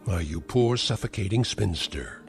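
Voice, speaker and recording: An actor playing a cruel, sarcastic elderly uncle who is a scientist, taunting with mocking, theatrical insults. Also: male voice, low-pitched, lively intonation, a little slow, soft background music. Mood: sneering. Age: older. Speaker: domineering uncle. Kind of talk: 1960s TV drama acting.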